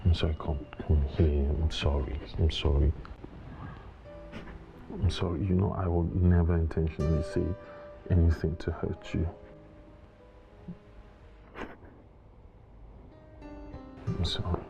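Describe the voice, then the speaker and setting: Domineering, sweet-talking husband, lowish voice, naturalistic drama, dull sound over music. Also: male voice, expressive, measured pace, pauses often. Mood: apologetic, coaxing. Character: domineering but coaxing husband, adult man, quick to apologise. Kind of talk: naturalistic screen drama acting.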